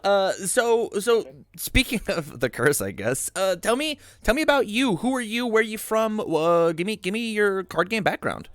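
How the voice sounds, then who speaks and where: Animated man hosting a casual commentary stream. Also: sits high, moderate pace, hardly any pauses, no room sound, studio quality. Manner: animated, informal, repeats phrases for emphasis. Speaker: host and game commentator. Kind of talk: casual podcast-like commentary chat.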